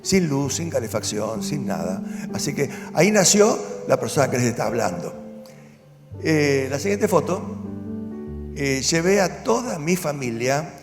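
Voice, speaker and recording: Older pastor in echoing hall. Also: male voice, fairly high pitch, very animated, unhurried. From Argentina. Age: 70s. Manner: earnest storytelling, rising to firm conviction. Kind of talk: personal testimony at a church event. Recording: long hall reverb with music behind. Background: music.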